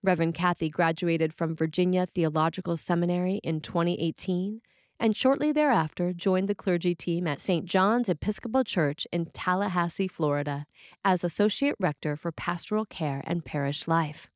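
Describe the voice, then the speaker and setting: A woman reading a scripted sermon in a measured, deliberate way with pauses between phrases. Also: lowish voice, no room sound, studio quality. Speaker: sermon reader.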